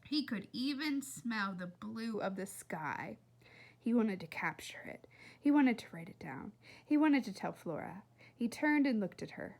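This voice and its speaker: A woman reading a children's book aloud like a teacher, in an animated, expressive way with chatty asides to her listeners. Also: on the high side, measured pace. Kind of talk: animated read-aloud narration.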